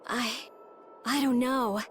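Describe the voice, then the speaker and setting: An actress playing an earnest, excitable and anxious youngster in a video game. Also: female voice, a little high, slow. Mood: earnest, uncertain. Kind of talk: scripted video game voice acting. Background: game music.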